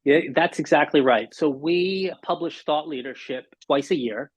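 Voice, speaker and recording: Male interview guest, husky voice, articulate explainer, slightly dull lo-fi line. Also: fairly high pitch, moderate pace. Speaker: podcast guest, audio industry marketing executive. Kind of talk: conversational interview answers. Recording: dull, band-limited audio.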